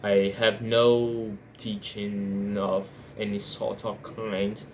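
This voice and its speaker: Brazilian man, slow and careful, stumbling over restarts, faint background hiss. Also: on the low side, level tone. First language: Portuguese. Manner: halting, repeats words and restarts sentences. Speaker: language learner vlogger. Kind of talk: unscripted monologue.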